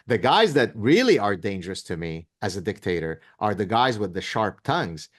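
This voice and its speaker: A man's voice: on the low side, sing-song, moderate pace. A man in his fifties, a professor guest in an interview, talking in an emphatic, sarcastic, lecturing way. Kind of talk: interview conversation.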